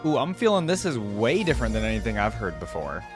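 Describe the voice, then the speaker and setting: A man hosting a reaction video with casual, informal commentary, thinking out loud as he goes. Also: lowish voice, big pitch swings, quick pace. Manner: informal, musing aloud. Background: music.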